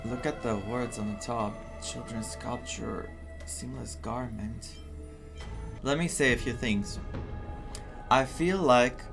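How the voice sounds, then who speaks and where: Young man streaming over game music. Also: mid-range voice. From Greece. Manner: rambling, thinks aloud. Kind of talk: casual playthrough commentary, reading dialogue aloud.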